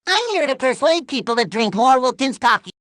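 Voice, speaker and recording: An actor voicing a cheerful, pushy puppet coffee pitchman in a comedic ad sketch, chipper and deadpan about mayhem. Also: brisk, dry recording, studio quality. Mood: chipper menace.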